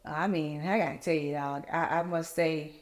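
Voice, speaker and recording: Low-pitched woman host, encouraging. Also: fairly even intonation, normal speed. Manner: encouraging, lots of short affirmations. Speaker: interviewer, show host. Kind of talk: casual interview.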